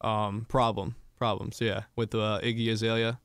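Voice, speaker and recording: Low, even-toned young man, casual. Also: moderate pace, clean tone, no echo, clean studio sound. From Florida, United States. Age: young adult. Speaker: college football player guest. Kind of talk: casual sports interview answers.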